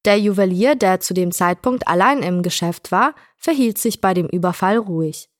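A woman reading a short news report in an even, clear newsreader manner. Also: medium pitch, moderate pace, no room sound, clean studio sound.

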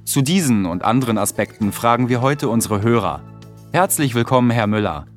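A man reading short news texts and hosting a scripted radio call-in in a measured, clear way. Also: mid-range voice, animated, soft background music. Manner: measured, clear read-aloud delivery. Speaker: reader of exam listening texts.

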